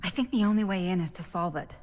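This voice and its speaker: A woman's voice: fairly low pitch, fast, darkish tone, lightly acted. A woman playing a practical, skeptical investigator in a video game, speaking matter-of-factly. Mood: focused, skeptical.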